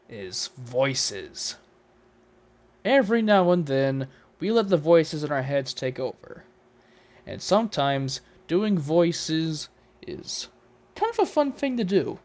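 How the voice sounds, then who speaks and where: A man narrating a scripted tutorial as its host, with theatrical, drawn-out emphasis. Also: fairly high pitch, a little mellow.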